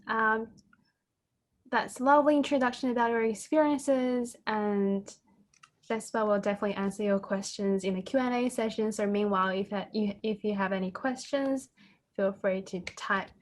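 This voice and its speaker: A woman moderating an online event, upbeat and friendly. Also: mid-range voice. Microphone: video call.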